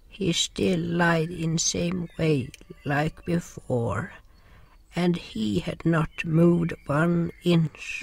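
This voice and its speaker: Man acting a slow, creaky, sorrowful storyteller. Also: on the high side, heavily acted. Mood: solemn. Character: gentle, sorrowful non-human narrator River, caring companion. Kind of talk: first-person audio drama narration.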